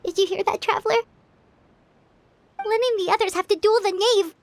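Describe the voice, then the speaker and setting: Squeaky tiny sprite companion. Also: female voice, brisk, vocal fry. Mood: anxious curiosity. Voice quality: very high, bouncy, squeaky. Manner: chatty, curious, excitable. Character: tiny chirpy floating companion sprite, female. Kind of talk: video game voice acting.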